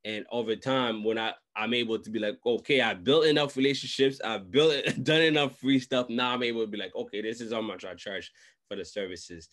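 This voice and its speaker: A man's voice: medium pitch, fairly even intonation, a little fast, a bit bright. A man, hosting a casual interview in slangy talk and repeating phrases for emphasis. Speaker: host. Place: small room at home, indoors. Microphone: large desk microphone close up, video call.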